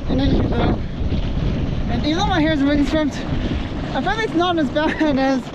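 Excitable young man, high voice, loud wind. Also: normal speed, lo-fi sound. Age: young adult. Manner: excitable, repeats and restarts phrases. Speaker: vlogger. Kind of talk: casual vlog commentary while walking. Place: outdoor airport parking lot in strong wind. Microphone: action camera held at arm's length. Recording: loud wind noise on the mic.

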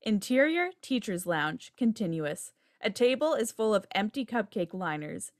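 A woman's voice: medium pitch, very animated, normal speed, no echo, studio quality. A woman reading out stage directions as scripted narration at a table read. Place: indoor room, on a video call. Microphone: video call microphone.

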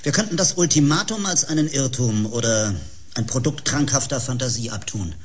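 A man playing a measured scientific chairman in a sci-fi radio play, formal and moderating, with a husky voice. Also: mid-range voice, a little hiss or hum, natural voice. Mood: grave, restraining. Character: measured, sober male chairman of scientific debate. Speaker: actor in a sci-fi audio drama.

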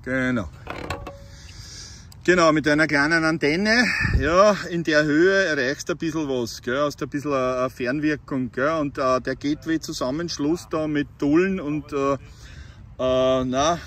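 Austrian man chatting on radio outdoors. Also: mid-range voice. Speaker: amateur CB radio operator filming his meetup. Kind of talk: casual radio chat. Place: outdoors by a roadside.

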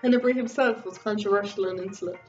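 A young woman reads an educational script at a medium pitch. The recording is a little muffled, and music plays faintly underneath. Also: quick pace. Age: young adult. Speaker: student presenter. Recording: slightly dull band-limited, faint music.

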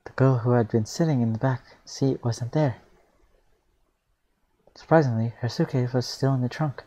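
A man reading aloud casually as a reactor, with spontaneous, expressive exclamations between the lines. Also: mid-range voice, moderate pace, muffled recording.

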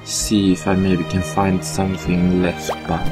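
A man with a Swedish accent giving relaxed let's-play commentary, thinking aloud as he plays. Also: sits low, over music. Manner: relaxed, thinking aloud while playing, slow with fillers. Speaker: gameplay commentator.

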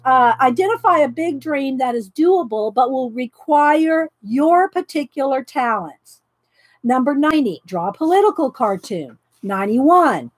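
Middle-aged woman, high voice, loud and chatty craft host, laughs a lot. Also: expressive, a little slow, no room sound. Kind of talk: casual live craft chat. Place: home craft room. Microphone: overhead camera pointing down at desk.

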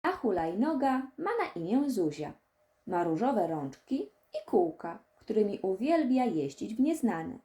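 A woman narrates a scripted story for young children. Her voice is mellow and sits at a middle pitch, and her delivery is expressive, with lively swings in intonation. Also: unhurried. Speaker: audiobook narrator.

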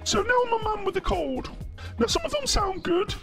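A man's voice: very high voice, lively intonation, normal speed, creaky, fairly bright tone, far from the mic, faint music behind, voice pushed up, exaggerated voice. A man voicing odd cartoonish characters through a voice changer filter. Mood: casual, explaining.

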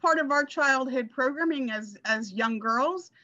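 Woman in her 40s, bright highish voice, telling stories on a dull dry video call. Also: measured pace, no room sound. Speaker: guest sharing her success story. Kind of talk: casual personal storytelling. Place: indoor room, video call.

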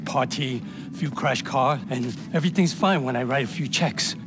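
An actor playing a weary, dutiful fixer with clipped, non-native phrasing in a video game cutscene. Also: male voice, mid-range voice, normal speed, music in the background, lightly acted. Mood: resigned, worried. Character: weary, dutiful male fixer for a rich boss.

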